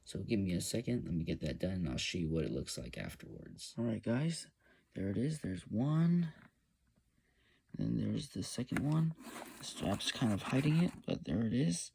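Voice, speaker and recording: A man casually talking viewers through a hands-on gear install, relaxed and hesitant with frequent fillers. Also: fairly low pitch, normal speed, vocal fry, slight background noise. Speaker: YouTube motorcycle gear reviewer. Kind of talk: casual step-by-step install walkthrough.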